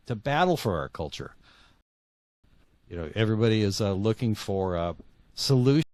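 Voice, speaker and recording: A man speaking as an interview guest, earnest and opinionated, in halting conversational talk that repeats words. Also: lowish voice, dry recording.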